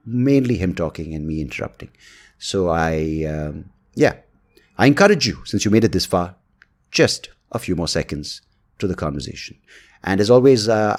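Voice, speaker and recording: Male podcast host, low-pitched voice, casual unscripted talk, rambling, hesitant, thinking aloud. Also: gravelly.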